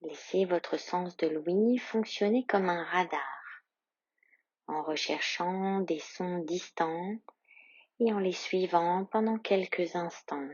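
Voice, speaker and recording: A woman yoga instructor leading a guided meditation in a calm, soothing, soft and breathy voice with long, measured pauses. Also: fairly low pitch, dry recording, highs cut off.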